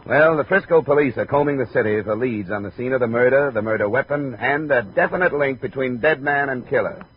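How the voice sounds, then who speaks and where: An American actor playing a sardonic, skeptical private investigator in a 1950s hardboiled radio drama. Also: male voice, mid-range voice, a little fast, close to an everyday voice. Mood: wry. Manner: sardonic, probing. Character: wry, skeptical, tough male private investigator. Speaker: lead detective of the series. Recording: dull, noisy 1950s radio recording.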